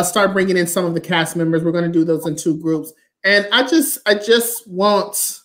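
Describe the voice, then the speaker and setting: Emphatic American host, mid-pitched voice. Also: fairly bright tone. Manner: emphatic, preachy, repeats phrases for stress. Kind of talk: live online arts talk. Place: indoor room at home, video call. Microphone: video call mic.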